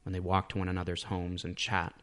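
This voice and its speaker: A man's voice: low-pitched, completely flat delivery, a little fast, a bit bright. A man reading his own short story as scripted literary narration.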